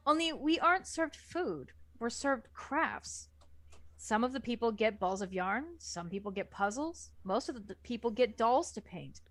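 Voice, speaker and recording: A woman's voice: a little high, lively intonation, unhurried, a bit bright, no room sound. Dry-sounding woman narrating a story. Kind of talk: scripted first-person story narration.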